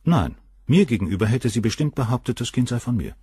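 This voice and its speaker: A man's voice: mid-range voice, very animated, quick pace, mellow, husky, dry recording. An actor in a German crime radio play playing a cold, cynical middle-aged surgeon, detached and coolly superior as he answers questions. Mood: coolly dismissive.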